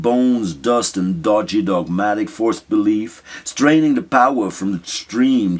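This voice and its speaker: Low-voiced man reciting spoken-word poetry. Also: breathy edge. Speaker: writer reading own spoken-word piece.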